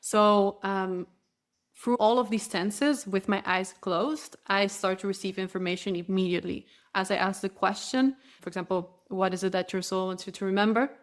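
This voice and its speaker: A woman's voice: mid-range voice, fairly even intonation, normal speed. Spanish-native woman explaining spiritual practice. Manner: earnest, flowing explanation with frequent restarts. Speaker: spiritual guide, interview guest. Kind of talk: podcast interview.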